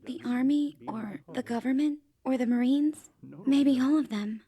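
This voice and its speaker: A woman playing a scared, lonely young girl in hiding, speaking softly and breathily in an audio drama over music. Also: high voice, measured pace. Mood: anxious hope. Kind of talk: audiobook drama character acting.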